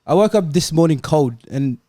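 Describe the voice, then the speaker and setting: High-pitched man, plaintive podcast monologue. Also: lively intonation, normal speed, mellow, dry recording, studio quality. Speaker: podcast co-host. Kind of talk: comic mock-sad monologue to friends.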